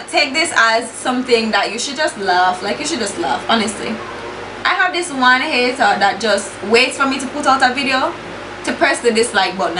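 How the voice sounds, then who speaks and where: Jamaican woman, young adult, beauty vlogger, blunt, swears, slips into patois, echoey room. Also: a little high. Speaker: beauty YouTuber. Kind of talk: casual chat while doing makeup. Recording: echoey room with steady background hiss.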